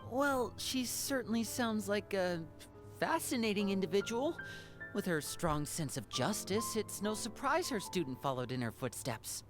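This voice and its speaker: A woman's voice: mid-range voice, sing-song, unhurried. A woman playing a polite, thoughtful young female traveler in scripted video game dialogue, speaking tactfully with slight hesitance. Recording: music clearly under the voice.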